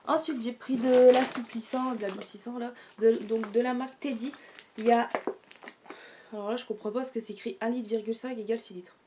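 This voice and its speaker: A woman's voice: medium pitch, warm tone, slight background noise. A young woman doing a casual shopping haul, out of breath and congested from a sore throat, rambling with lots of fillers. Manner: chatty, rambling, with many fillers and self-corrections. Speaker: haul vlogger.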